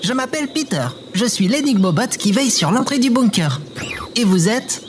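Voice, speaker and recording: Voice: mid-range voice, lively intonation, normal speed, a little hiss or hum, natural voice. An actor voicing a fussy riddle robot who guards a bunker, flustered and wordy in an audio comedy. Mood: formal. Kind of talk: audio fiction comedy acting.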